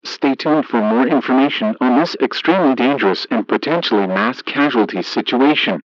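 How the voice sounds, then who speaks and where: Male emergency broadcast announcer, mid-pitched, formal, flat scripted read with a synthetic edge, dull radio sound. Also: brisk, dry recording.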